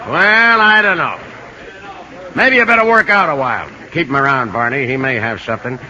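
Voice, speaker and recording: Sharp, bossy baseball manager. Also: male voice, medium pitch, normal speed, dull audio, slight background noise. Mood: brisk, commanding. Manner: bossy, shouting across a field. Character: brisk, gruff baseball manager sizing up a rookie. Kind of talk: 1950s radio drama acting. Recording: old 1950 radio broadcast.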